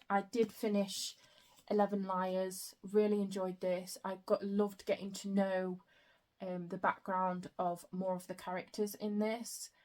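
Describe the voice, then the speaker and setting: A young woman chatting casually about her reading in a book vlog, her throat a bit croaky, with frequent soft fillers. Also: mid-range voice. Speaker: book vlogger.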